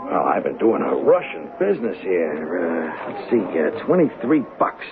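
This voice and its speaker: A man's voice: low voice, wildly swooping pitch, normal speed, a crowd in the background, heavily acted. A man playing a cocky, shifty young hustler in a 1970s radio drama, with breezy, slangy, wisecracking talk. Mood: brash, evasive. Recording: muffled vintage radio broadcast with music.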